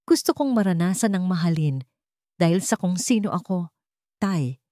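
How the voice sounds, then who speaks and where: A woman's voice: fairly low pitch, lively intonation, normal speed, dark tone, dry recording, clean studio sound, natural voice. Earnest young Filipino daughter voiced by a woman narrator. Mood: earnest, tender. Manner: earnest, tender. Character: loving, respectful, idealistic young adult daughter.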